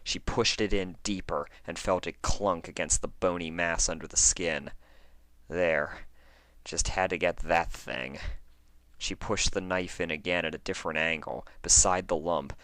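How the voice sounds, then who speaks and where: A man reading a horror story aloud as an amateur narrator, in a measured, suspenseful storytelling style. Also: very deep voice, sharp tone, dry recording. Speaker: amateur fan fiction narrator and channel host. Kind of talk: dramatic horror audiobook narration, then casual sign-off. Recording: dry close recording with faint music underneath.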